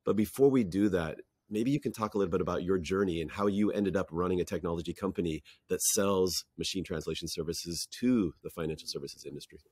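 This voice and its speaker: Deep husky-voiced interview host. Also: male voice, brisk, hardly any pauses, a little mellow, no echo, clean studio sound. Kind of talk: video call interview. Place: outdoor deck.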